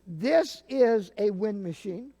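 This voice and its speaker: Older man with a high, mellow voice. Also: lively intonation, slow. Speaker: guest, theater sound-effects practitioner. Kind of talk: casual explanatory demonstration.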